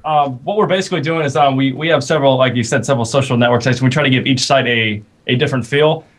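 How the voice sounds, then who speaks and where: Man, band member, medium pitch, rapid speech, casual interview explanation.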